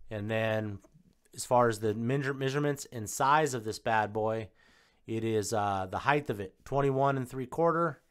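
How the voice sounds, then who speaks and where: A man with a mid-pitched voice presents a product unboxing in a casual way. He speaks off the cuff, rattling off specs in short, informal phrases. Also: moderate pace, clean tone. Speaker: home theater installer presenting a product unboxing. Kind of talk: casual unboxing and spec review.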